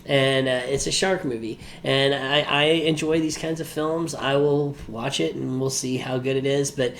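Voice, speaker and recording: A man with a medium-pitched voice co-hosts a movie talk show. He speaks in a casual, opinionated, conversational style, with faint noise in the background. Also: fairly even intonation, moderate pace, creaky. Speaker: podcast co-host.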